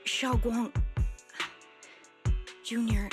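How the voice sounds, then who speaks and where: Calm caring senior sect sister in anime game, bit high, polite, music under it. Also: female voice, drawn-out words. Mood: reassuring. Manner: composed, reassuring, polite. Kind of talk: anime-style video game voice acting. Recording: game dialogue over prominent music.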